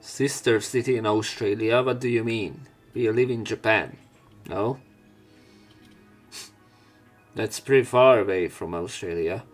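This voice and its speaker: A man with a fairly low voice reads a visual novel's lines aloud in a casual let's-play manner and drops jokey asides between lines. Game music plays faintly behind him. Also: takes it slowly, pauses often. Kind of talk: reading visual novel text aloud with commentary.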